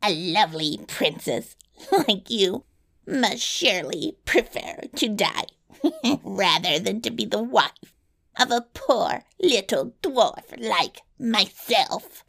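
Woman as a creaky, slow, spiteful little dwarf. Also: medium pitch, sing-song, fairly bright tone, full character voice. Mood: mocking, menacing. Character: small malicious male dwarf, sly, boastful and spiteful. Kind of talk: fairy tale storybook reading.

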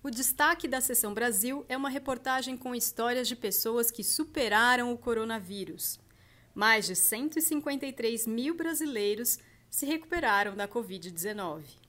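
A woman podcast host and journalist reading a news roundup and interviewing a guest in a steady, clear presenter delivery. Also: on the high side, moderate pace.

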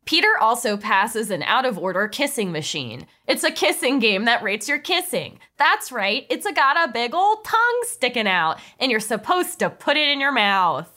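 A woman's voice: a little high, very animated, moderate pace, few pauses, pushed and bright. A woman narrating a comedy script table read playfully, with casual, amused asides.

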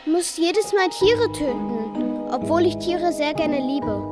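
Girl doing a little tailor hero, kids audio play, really high voice, slow careful reciting. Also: animated. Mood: regretful. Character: brave little tailor, reluctant animal-loving hero. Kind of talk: children's radio play acting. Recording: loud music behind the voice.

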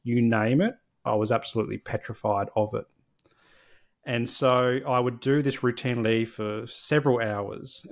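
A man, a podcast guest candidly and reflectively telling his personal story. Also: mid-range voice. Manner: candid, reflective, with frequent restarts.

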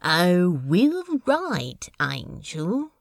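Woman as a slow-drawling, smitten wry boyfriend. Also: lowish voice, wildly swooping pitch, gravelly, dry recording, studio quality, heavily acted. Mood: teasing, tender. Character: smitten, teasing, wry male comedian boyfriend. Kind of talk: fan fiction podfic character acting.

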